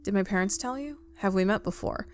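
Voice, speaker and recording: A woman's voice: medium pitch, brisk, darkish tone, soft background music, close to an everyday voice. A woman voicing an awkward, apologetic girl in a visual novel, speaking hesitantly. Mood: uneasy, questioning. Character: awkward, apologetic young girl who loves cemeteries. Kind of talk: visual novel character read-aloud.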